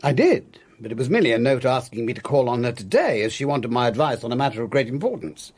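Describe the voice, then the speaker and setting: An actor playing a grave, formal English family solicitor with a deliberate manner, in a radio drama. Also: male voice, medium pitch, sing-song, darkish tone, breathy edge.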